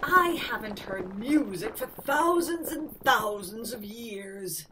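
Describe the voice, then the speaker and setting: A woman doing a slow, rumbling old giant. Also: expressive, background noise. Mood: wistful. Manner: slow, low, loud rumbling delivery. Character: ancient lonely giant, huge, gentle, slow rumbling.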